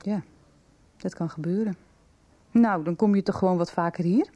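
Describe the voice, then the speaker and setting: An actress playing an ailing, lonely schoolteacher in a radio soap, speaking in an intimate, probing and hesitant way. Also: female voice, on the low side, moderate pace, mellow, lo-fi sound, lightly acted. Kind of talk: Dutch radio soap acting.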